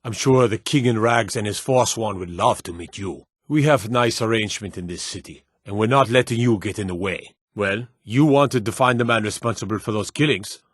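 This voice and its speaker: A man's voice: fairly low pitch, normal speed, hardly any pauses, breathy edge, no room sound, studio quality. An actor playing a stern, menacing and authoritative male city guard in a fantasy video game.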